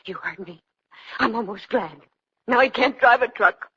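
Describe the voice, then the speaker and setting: An actress playing a worried, world-weary trucker's wife in 1940s radio melodrama, with an American accent. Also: female voice, a little high, moderate pace, sharp tone, slightly rough. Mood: bitter, tearful. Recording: muffled 1940s radio broadcast.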